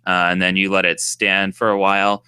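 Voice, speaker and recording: Rambling young man with a bright voice. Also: low-pitched, completely flat delivery, brisk, clear, resonant tone. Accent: New England. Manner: rambling, many fillers and restarts. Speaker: podcast co-host. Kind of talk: casual chat.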